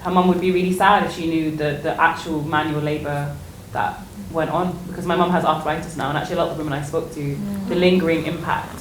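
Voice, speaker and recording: A woman with a lowish voice speaks as a panellist in a live discussion. She is halting, repeating words as she thinks, in a very roomy space with some background noise. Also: fairly even intonation. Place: indoor public exhibition discussion.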